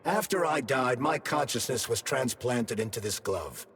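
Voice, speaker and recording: A man's voice: mid-range voice, animated, fairly bright tone, husky, big-room sound, full character voice. A man playing a sentient glove that holds a dead scientist's mind, formal and coolly vengeful, in a video game cutscene. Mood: grave revelation. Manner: articulate, formal, measured explaining.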